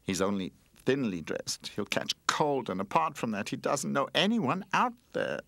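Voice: low voice, big pitch swings, moderate pace, a bit bright, slight background noise, heavily acted. Narrator as a sensible, caring cushion toy. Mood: troubled.

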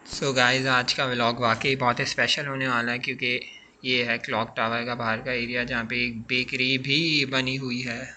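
A man's voice: mid-range voice, little pitch movement, normal speed, background noise. A man in his twenties vlogging about a restaurant buffet in a casual, chatty way, often saying "so guys" and mixing in English phrases. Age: 20s. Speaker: food vlogger. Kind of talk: casual vlog voice-over.

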